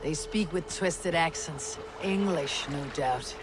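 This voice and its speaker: A woman playing a cool, confident Viking warrior in a game cutscene, measured and wry. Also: sits low, background noise. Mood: self-assured. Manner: measured, wry, self-assured. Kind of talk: dramatic video game cutscene acting. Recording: loud music behind the voice.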